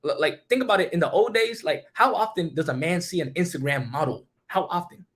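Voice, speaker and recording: A man's voice: a little high, brisk. A young man on a livestream, rambling in a casual, slangy monologue and talking straight to his chat. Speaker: streamer.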